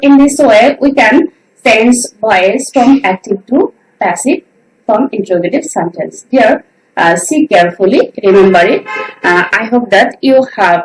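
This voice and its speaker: A woman's voice: mid-range voice, rough recording. A woman with a Bangladeshi accent, a native Bengali speaker, teaching an online grammar lesson and repeatedly addressing her students directly.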